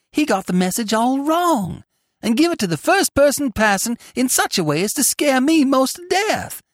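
A man voicing a brisk, chatty middle-aged country aunt who rattles on in rustic fashion, as a storybook character. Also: sits high, a bit bright, no echo, clean studio sound, pitched above the performer's own voice, full character voice.

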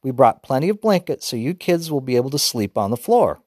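Male reader putting on a methodical mother, higher and very animated, with a dry close sound. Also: no room sound. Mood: planning. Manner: brisk, careful, list-like planning. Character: organized mother reading out a trip plan.

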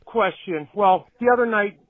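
A man's voice: high-pitched, moderate pace, no room sound. A forty-one-year-old man telling a crude, comic, casual anecdote as a listener putting a question to a show, on slightly lo-fi message audio.

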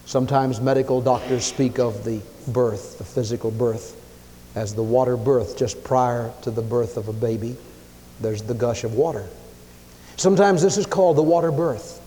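A man preaching a sermon, emphatic, swinging his pitch and loudness as he acts out the people he quotes. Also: normal speed, a little hiss or hum. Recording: long hall reverb.

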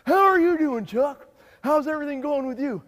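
A man's voice: very high voice, animated, normal speed, fairly bright tone, in a large hall. A man voicing a dopey, giggly, stammering Midianite soldier who is itching for battle, in a comedic Bible sketch. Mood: giddy, excited.

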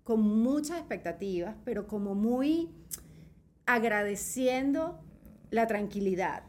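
Venezuelan woman, middle aged, slightly high voice, chatting as a podcast guest, emphatic storyteller. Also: lively intonation, slow, slight background noise. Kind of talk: casual interview, personal storytelling.

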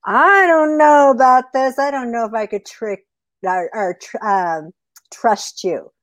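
A woman's voice: medium pitch, lively intonation, a little slow, dry recording, natural voice. A woman voicing a gullible, self-important male coyote in a folktale, blustering and emphatic. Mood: suspicious. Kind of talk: oral folktale storytelling.

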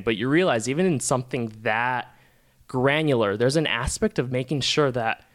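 A man from Las Vegas introducing himself on a podcast in a relaxed, rambling way with fillers. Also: mid-range voice. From Las Vegas, Nevada. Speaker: podcast producer.

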